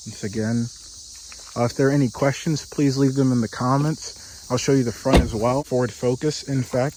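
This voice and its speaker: A man giving a casual tour of the car he lives in, speaking in a flat, deadpan monotone with dry humor. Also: mid-range voice, noisy background. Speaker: vlogger living in his car. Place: inside and around a parked car outdoors.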